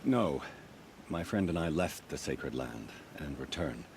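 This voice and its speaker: Very deep-voiced hunting keeper. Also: male voice, animated, a little slow, a little hiss or hum. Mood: confiding. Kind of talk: video game voice acting.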